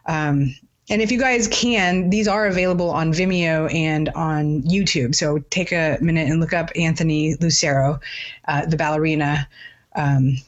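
A woman co-hosting a podcast, asking questions in a thoughtful, halting, conversational way. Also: deep voice, steady tone, muffled recording. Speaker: podcast co-host, interviewer.

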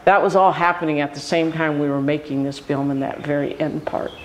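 A man's voice: on the high side, a little fast, slight background noise, rough recording. A man around sixty from Hawaii, a filmmaker answering interview questions and animatedly acting out past conversations. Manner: animated storyteller, acts out quoted exchanges. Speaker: filmmaker guest.

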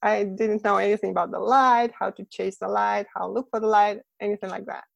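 A Russian-accented woman talking about her work in a relaxed interview, breaking into laughs between phrases. Her voice has a gravelly, creaky texture. The sound is close and dry, with no room around it. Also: medium pitch, fairly quick, no room sound. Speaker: guest, boudoir photographer. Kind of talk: casual interview chat.

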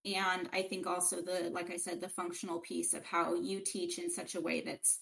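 A woman guest on a podcast, speaking in a reflective, halting, casual way. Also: lowish voice, flat delivery, vocal fry, fairly bright tone, a little hiss or hum, poor audio. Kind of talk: casual interview chat.

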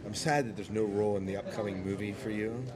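Low-voiced man interviewing over crowd noise. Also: fairly even intonation, quick pace. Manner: rambling, informal, hesitant phrasing. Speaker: podcast interviewer and enthusiastic fan. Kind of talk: casual fan interview chat. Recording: crowd chatter around the voice.

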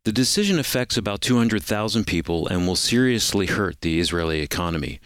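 Low-voiced American male radio announcer. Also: animated, brisk, a bit bright, clear, resonant tone, no room sound, studio quality. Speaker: announcer and co-host. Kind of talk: scripted broadcast introduction and news reading. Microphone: close studio microphone.

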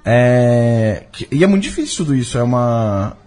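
A Brazilian man being interviewed as a film director, speaking casually and reflectively, often halting to search for his words. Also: medium pitch, big pitch swings, a little mellow.

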